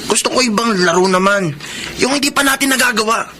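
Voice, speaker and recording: An actor playing a restless young brother in a Filipino radio drama, talking in a colloquial, musing way. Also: male voice, extremely high pitch, fast, pushed and bright, a little hiss or hum. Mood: bored, boastful. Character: restless young brother, a gambling tricycle driver. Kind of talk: Tagalog radio drama acting.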